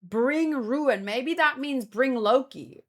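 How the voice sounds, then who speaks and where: A woman's voice: sits high, expressive, slow pace. A woman chatting casually as a streamer, thinking aloud in a rambling, exclamatory way. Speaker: manga read-along streamer.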